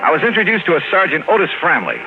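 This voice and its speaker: An actor playing a brisk, wry insurance investigator in a 1950s radio detective drama, with crisp, punchy delivery. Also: male voice, high voice, very animated. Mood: brisk narrating. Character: brisk, confident male freelance insurance investigator, wry and loyal. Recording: tinny vintage radio recording, music behind.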